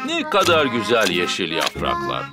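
A man voicing a cheerful, patient father pig in a children's cartoon, with an encouraging, expressive manner. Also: on the low side, moderate pace. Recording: music behind the voice.

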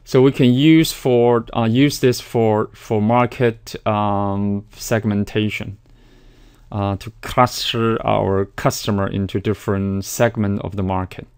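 Man, lecturer, low pitch, hesitant with fillers. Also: fairly even intonation, takes it slowly, a little mellow, clear, resonant tone. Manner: hesitant, many fillers and restarts. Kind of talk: recorded slide lecture.

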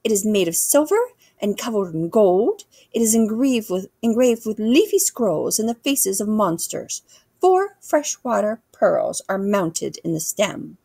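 A woman's voice: mid-range voice, big pitch swings, measured pace, vocal fry, warm tone, no room sound, studio quality, voice pushed up, exaggerated voice. A woman voicing a haughty, pedantic older English queen in a storybook, with a pompous, formal way of talking. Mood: proud. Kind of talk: children's storybook character voice.

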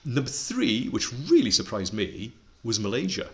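A man's voice: medium pitch, sing-song, moderate pace. A middle-aged Welsh man whose first language is Welsh, co-hosting a casual podcast and explaining statistics with enthusiasm and self-deprecating asides. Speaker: podcast co-host.

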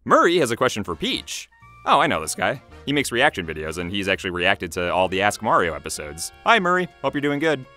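A woman's voice: a bass voice, very animated, a little fast, sharp tone, soft background music, full character voice. A woman voicing an easygoing, affable young cartoon guy who hosts a show. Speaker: host.

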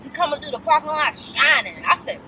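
A high-voiced American woman in her twenties chats in a slangy, animated style, her pitch swinging widely. The sound is slightly distant, recorded in a moving car with clear background noise. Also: fairly quick. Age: 20s. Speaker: vlogger's friend and passenger. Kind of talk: casual chat. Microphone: dashboard camera.

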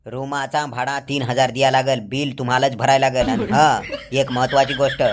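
Casting: man playing blunt, bossy Mumbai landlord for comic cartoon, middling pitch, lecturing delivery, clearly noisy track. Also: level tone, moderate pace, natural voice. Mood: blunt, instructing. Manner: lecturing, laying down rules in blunt rustic speech.

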